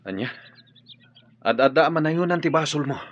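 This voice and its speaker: An actor playing a wary, brooding fugitive in a radio drama. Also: male voice, fairly high pitch, animated, normal speed, close to an everyday voice. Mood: troubled. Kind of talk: Ilocano radio drama acting. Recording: dull radio recording with faint noise.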